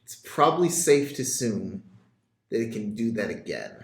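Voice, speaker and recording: A man taking his turn as a player in a tabletop role-playing game, animated and laughing often. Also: lowish voice, moderate pace, vocal fry. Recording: echoey room.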